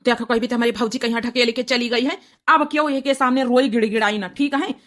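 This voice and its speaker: A high-pitched young village husband played by a woman in a comic cartoon. He talks with an Awadhi accent in an emphatic, wounded, repetitive way. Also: steady tone, quick pace, fairly bright tone, natural voice. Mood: bitter resignation.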